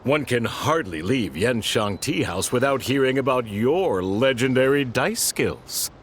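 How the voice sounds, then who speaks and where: A man playing a suave, courteous, slyly flattering gentleman in a video game, with flowery, affected politeness. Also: mid-range voice, a little slow, a little hiss or hum, lightly acted. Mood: flattering, smug.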